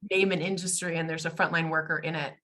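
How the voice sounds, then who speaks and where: An American woman, a guest expert in a webinar interview, talking fluently in a polished and warm way. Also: deep voice, fairly even intonation, fast talker. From United States. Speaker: guest, tech company expert.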